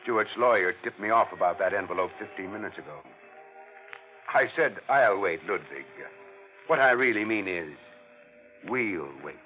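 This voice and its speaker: A man's voice: on the low side, expressive, normal speed, pauses often, natural voice. A man playing a dogged, sardonic police captain with a needling, colloquial manner in an old radio thriller. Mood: smug, insistent. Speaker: actor. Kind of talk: 1940s radio thriller acting. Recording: tinny vintage radio, faint music.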